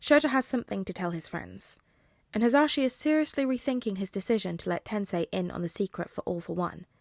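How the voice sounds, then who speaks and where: Female narrator, medium pitch, scripted fiction reading, dry close recording. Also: animated, a little fast, gravelly, darkish tone, no echo. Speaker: podfic narrator.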